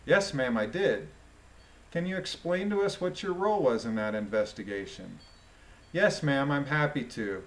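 A man reading a court transcript aloud as questions and answers, deliberately, with informal delivery as a commentator. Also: medium pitch, expressive, a bit bright.

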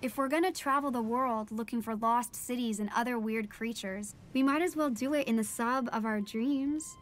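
A woman's voice: a little high, normal speed, close to an everyday voice. A young woman playing an earnest teenage daughter, narrating a first-person audio drama in a reflective way. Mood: warm, hopeful. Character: teenage daughter of a marine biologist, earnest storyteller. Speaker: narrator and lead character.

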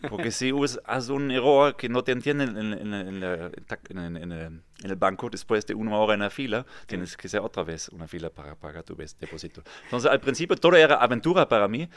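A German man tells his story conversationally as an interview guest. His voice sits fairly low, with a German accent and halting, non-native phrasing. The sound is dry and close, from a padded studio. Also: animated, no echo. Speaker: podcast guest, real estate developer. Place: small padded podcast studio, indoors. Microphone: studio microphone with pop filter, headphones.

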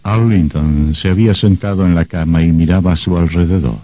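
A sober male storyteller with a low, dark voice performed by an actor. He narrates an old supernatural radio play in measured, literary phrasing. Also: fairly even intonation, dry recording, natural voice. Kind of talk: scripted radio drama narration.

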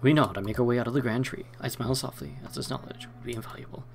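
A man's voice: medium pitch, racing, creaky. A man hosting a let's play, reading game narration aloud with short asides.

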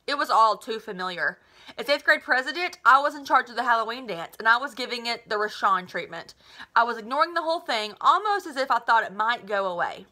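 A woman's voice: on the high side, bright, forceful tone. A woman in her thirties with a North American accent, reading a children's novel aloud expressively, like a teacher to students. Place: small living room at home, indoors.

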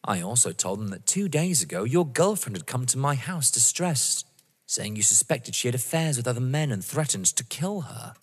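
A man's voice: mid-range voice, sing-song, normal speed, breathy edge. An actor playing a mocking, vengeful young man in an audio drama, theatrical and savouring each word. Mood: coolly confiding. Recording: reverberant hall-like room.

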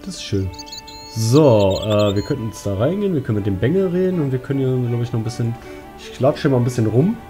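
A man's voice: medium pitch, lively intonation, fast, dark tone. A man giving casual gaming commentary, thinking aloud colloquially. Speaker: let's play host. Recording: game music behind.

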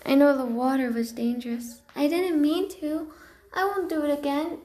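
A woman voicing an excitable young female cat kit in amateur animated character lines, eager and expressive. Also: high voice, normal speed, reverberant, natural voice. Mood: apologetic, subdued.

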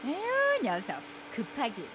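A woman voicing a cheerful, tidy mother of a young boy in a storybook cartoon, talking in a warm, amused, gently teasing way. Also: high voice, wildly swooping pitch, measured pace, full character voice, low-quality recording.

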